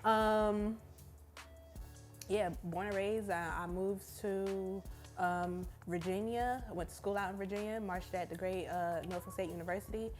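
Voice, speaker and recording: A young woman from Brooklyn, New York, co-hosting a casual Q&A, asking questions and answering in a lively, playful way. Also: medium pitch, measured pace, faint music behind. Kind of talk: casual Q&A chat.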